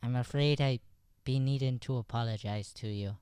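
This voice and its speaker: A man's voice: mid-range voice, normal speed, darkish tone, smooth, no echo, clean studio sound, close to an everyday voice. An actor playing an earnest, cautious Irish boy who stammers and repeats himself in an improvised radio comedy.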